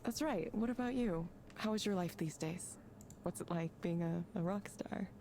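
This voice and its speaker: A woman's voice: fairly low pitch, normal speed, warm tone, a little hiss or hum, natural voice. An actress voicing a subdued, guarded woman who speaks hesitantly and with understatement in a visual novel game. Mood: mildly warm. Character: subdued, hesitant woman, withdrawn former tech worker, guarded.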